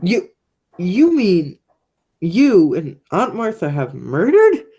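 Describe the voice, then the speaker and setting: A young woman playing a menacing, stubborn criminal brother, low-voiced. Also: huge pitch swings, slow, dark tone, breathy edge, heavily acted. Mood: incredulous. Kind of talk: radio play acting, high school theatre.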